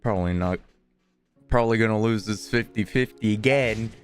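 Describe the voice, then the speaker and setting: A man casually commenting while playing a video game, with relaxed, mumbling asides. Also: on the low side, normal speed. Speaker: gaming streamer. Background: game music.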